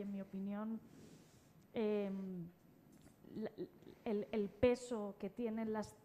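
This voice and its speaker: Mellow, hesitant woman from a panel, noisy recording. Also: medium pitch. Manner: hesitant, searching for words. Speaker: panel guest. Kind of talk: unscripted panel discussion. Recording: clear background noise, room ambience.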